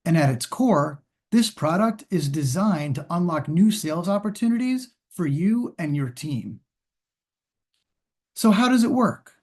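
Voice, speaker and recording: Male, higher register, corporate sales trainer, scripted and instructional, measured delivery. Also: lively intonation. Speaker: partner team trainer presenting sales training.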